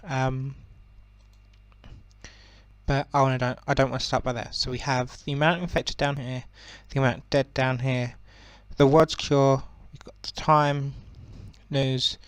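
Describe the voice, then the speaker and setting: A young man with a croaky voice giving relaxed, rambling commentary on a strategy game, with many ums and self-corrections. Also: mid-range voice, a little slow, no echo. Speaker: gaming channel host.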